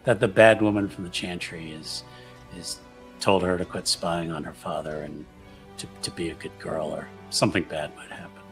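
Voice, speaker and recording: A man's voice: deep voice, steady tone, moderate pace, faint music behind. A man voicing a humble, pious servant in a tabletop game, speaking in a cautious, formal and hesitant manner. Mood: measured, wry.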